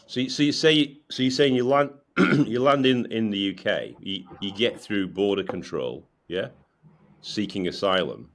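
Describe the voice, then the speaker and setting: A man's voice: lowish voice, lively intonation, unhurried. A man hosting a podcast interview, from the UK, who repeats his words and restarts his questions often. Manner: stammering repeated starts. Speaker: podcast host.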